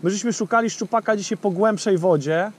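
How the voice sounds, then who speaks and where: A man's voice: high-pitched, fairly quick. Chatty man on a fishing boat. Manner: chatty, addresses viewers directly, many fillers. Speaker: fishing channel host. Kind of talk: casual outdoor fishing vlog chat. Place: small boat on a lake outdoors. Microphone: action camera on the boat.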